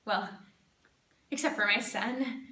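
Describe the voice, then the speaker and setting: A woman performing a theatrical monologue as an earnest, perky middle-aged small-town crafts lady and proud mother, with dramatic pauses. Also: mid-range voice, bright, forceful tone, voice pushed down. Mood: bright, proud. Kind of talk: competitive speech monologue, dramatic interpretation. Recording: echoey room, distant microphone.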